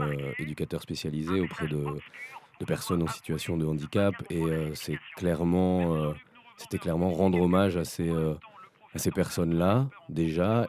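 A man's voice: low voice, dark tone. A man explaining his own work in an interview, hesitant and searching for his words. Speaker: fiction writer, special educator.

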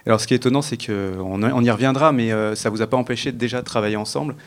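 A man's voice: medium pitch, very fast. A man co-hosting a round table, putting questions to guests in unscripted phrases with repeated words. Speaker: radio show co-host, interviewer. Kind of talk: unscripted interviewing.

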